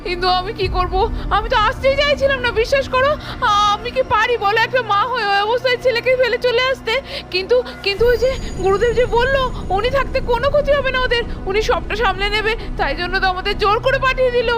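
A woman's voice: very high voice, fast talker, voice pushed up. A woman voicing a remorseful middle-aged mother in a children's doll story, pleading and lamenting. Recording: music playing behind.

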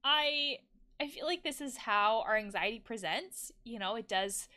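A woman in her thirties giving casual, expressive game commentary, thinking aloud in a conversational way. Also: on the high side, measured pace. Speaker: counselor and video game streamer. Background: music.

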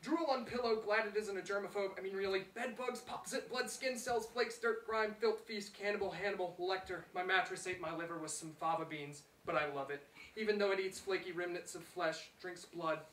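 A man's voice: sits high, a little fast, fairly bright tone. A man performing spoken-word poetry in a dramatic manner, in short clipped phrases. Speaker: poet reading own work. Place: small theater, live reading. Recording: roomy theater, distant mic, faint noise.

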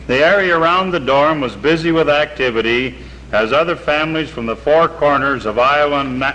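A middle-aged man speaking in a measured, earnest, formal way, reading a prepared statement for a 1950s television broadcast. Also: a little high, sharp tone, poor audio. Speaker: camp spokesman. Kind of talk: reading a prepared statement, then documentary narration. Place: outdoors by a lake. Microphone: seated on camera, reading from papers. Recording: old 1950s TV broadcast with faint hiss.

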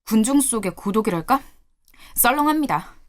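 A woman's voice: fairly high pitch, animated, normal speed, lightly acted. A woman voicing a chatty, earnest young university activist who speaks up to a senior. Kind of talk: audio drama acting.

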